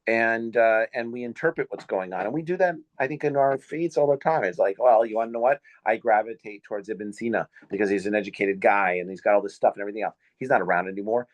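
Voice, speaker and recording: A man's voice: on the low side, no echo, highs cut off. Rambling man in his fifties, dry sound. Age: 50s. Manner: rambling, halting and full of fillers. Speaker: podcast host. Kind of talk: casual podcast conversation.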